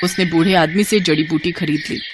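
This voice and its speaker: A woman's voice: on the low side, fairly even intonation, fairly quick, noisy background. A woman narrating a scripted moral story.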